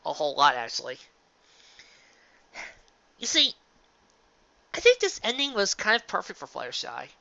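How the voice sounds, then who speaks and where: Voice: mid-range voice, huge pitch swings, normal speed, vocal fry, pauses often, sharp tone, no echo. A person reading and discussing fan fiction in an emphatic, informal, dramatic way. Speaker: fan fiction reader and reviewer. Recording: dull lo-fi sound.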